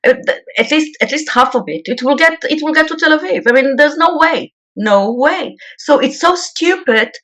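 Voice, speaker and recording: A woman's voice: sits high, animated, normal speed, few pauses, fairly bright tone. A woman from Israel with an Israeli accent, a peace activist guest speaking bluntly and emphatically in an interview. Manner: blunt and emphatic, repeats words. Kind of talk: interview talk.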